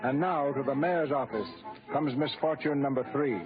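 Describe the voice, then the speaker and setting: A man's voice: medium pitch, normal speed. A man narrating an old-time radio drama in a scripted, formal, dramatic storytelling style. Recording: muffled old radio recording. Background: music.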